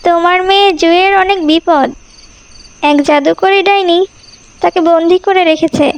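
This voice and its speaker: A woman's voice: very high-pitched, fairly even intonation, normal speed, poor audio. A woman voicing a solemn water fairy in a fairy-tale cartoon, giving grave guidance. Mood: solemn warning.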